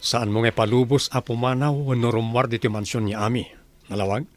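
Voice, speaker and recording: A man's voice: medium pitch, fairly even intonation, fairly quick, soft background music, natural voice. A man voicing a wealthy, domineering master who gives stern commands in a radio drama.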